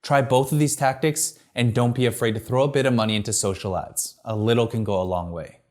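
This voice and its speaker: A man presents a scripted tutorial, reading smoothly from a teleprompter. His voice sits at an ordinary middle pitch, and he delivers each point in a clear, structured, upbeat manner. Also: fairly even intonation, a little fast, darkish tone. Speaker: video marketing presenter.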